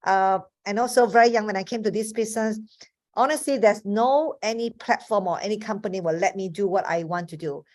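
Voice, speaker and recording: A woman's voice: medium pitch, fairly quick, a little mellow. Malaysian woman in her fifties, earnest. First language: Chinese. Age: 50s. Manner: earnest, restarts often. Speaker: webinar speaker. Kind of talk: motivational talk. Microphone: video call.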